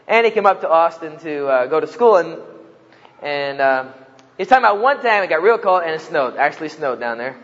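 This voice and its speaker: A man's voice: on the high side, sing-song, fairly quick, fairly bright tone, in a large hall, muffled recording. A man from New Jersey with a New Jersey accent, giving a humorous talk to students and acting out the voices in his stories. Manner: joking storyteller, acts out quoted voices. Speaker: campus speaker.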